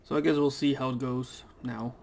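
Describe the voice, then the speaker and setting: A man's voice: medium pitch, little pitch movement, moderate pace, creaky, darkish tone. Rambling man with a scratchy throat. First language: Dutch. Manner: rambling and hesitant, many fillers. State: throat irritated, clearing it. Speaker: gaming YouTuber. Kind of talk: casual let's play commentary. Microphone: desk microphone.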